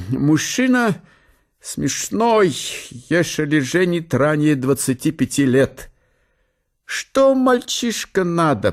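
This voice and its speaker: A man playing a stern, pedantic middle-aged father in a radio drama, with a German accent and halting, broken grammar. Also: a little high, exaggerated voice. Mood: stern, disapproving.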